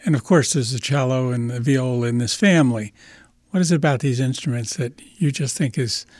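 Man, interviewer, measured, encouraging, mid pitch, dry sound. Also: a little mellow, no room sound. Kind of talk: interview questions.

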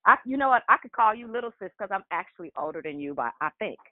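A woman's voice: medium pitch, animated, quick pace, no room sound. A woman in her sixties calling a prayer line, teasing the host in playful banter. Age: 60s. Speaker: caller. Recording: phone line.

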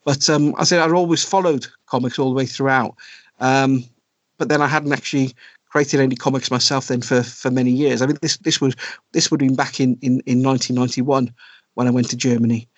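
A middle-aged man from South Wales, chatting as a podcast guest and pausing often with ums and restarts. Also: medium pitch, fairly even intonation, no echo. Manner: hesitant, many ums and restarts. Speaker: podcast guest, comic writer. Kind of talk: casual interview chat. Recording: dry, dull lo-fi sound.

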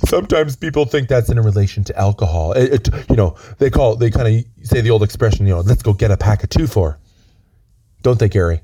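A man, raspy from a chest cold, rambling earnestly and stammering through deadpan comedy chat outdoors on a lookout. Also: on the low side, brisk. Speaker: comedy co-host.

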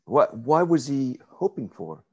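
A man interviewing a guest in a casual conversation, friendly and quick to laugh, asking short questions. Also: mid-range voice, sing-song, breathy edge, dull audio.

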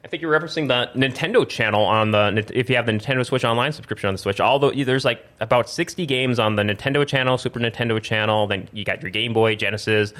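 A man with a medium-pitched voice hosts a casual gaming podcast. He rambles along informally, tripping on words and fixing them as he goes. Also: fast, hardly any pauses, a bit bright. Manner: rambling, stumbles over words and corrects himself. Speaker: podcast host. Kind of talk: casual video game talk show with plugs and sponsor reads.

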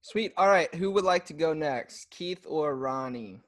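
High-voiced joking man co-host. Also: animated, unhurried, fairly bright tone. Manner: joking, laughs often. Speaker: podcast co-host. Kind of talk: casual chat.

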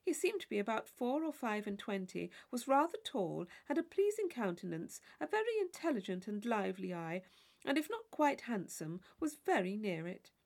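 Female audiobook narrator, mid pitch with lively range, expressive and measured storytelling. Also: vocal fry. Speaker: audiobook reader. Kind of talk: scripted literary narration.

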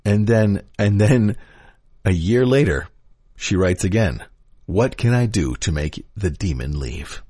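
A man's voice: fairly low pitch, lively intonation, unhurried, mellow, dry recording, studio quality. A man reading internet forum posts aloud in a declamatory, theatrical way. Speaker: podcast reader.

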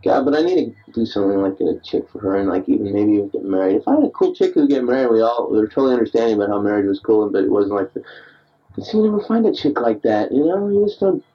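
Tipsy man in his thirties, rambling, self-deprecating, husky, dull off-mic answering-machine tape. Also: fairly low pitch, expressive, fast, recorded from a distance. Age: 30s. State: tipsy after beers. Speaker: diarist recording himself. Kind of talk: rambling late-night voice memo. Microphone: answering machine recorder.

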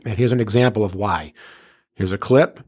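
A man's voice: fairly low pitch, normal speed, a little mellow, dry recording, clean studio sound. A man hosting a hip-hop interview show in a casual, earnest way, effusive and slangy. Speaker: podcast and radio host.

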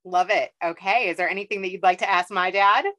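A woman's voice: medium pitch, fairly even intonation, a little fast, bright, forceful tone, no room sound. A woman hosting a podcast interview who affirms her guest and asks questions. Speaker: podcast host. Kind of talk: interview chat.

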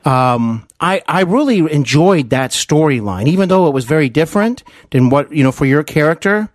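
A man's voice: on the high side, animated, moderate pace, no room sound. Male podcast interviewer, close dry sound. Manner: admiring, long-winded, meandering. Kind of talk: conversational interview.

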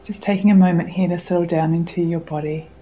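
Low, even-toned woman guiding meditation over music. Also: low-quality recording. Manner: soothing, unhurried, gently instructing with long pauses. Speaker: meditation guide. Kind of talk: guided meditation. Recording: music under the voice.

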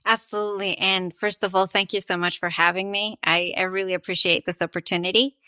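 A woman from Venezuela talks as a podcast guest, answering earnestly and reflectively. Her voice is bright and sits in a middle pitch, recorded dry and close. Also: level tone, brisk, dry recording. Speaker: guest, architect and artist. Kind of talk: interview conversation.

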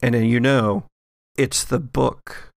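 A man narrating a tabletop horror game as game master, in a halting, thoughtful way with pauses. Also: medium pitch, steady tone, creaky, no echo, clean studio sound.

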